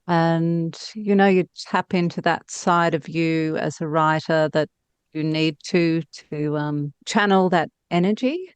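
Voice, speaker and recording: A woman talking casually and reflectively as an interview guest, haltingly thinking aloud. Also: fairly low pitch, a little mellow, no echo.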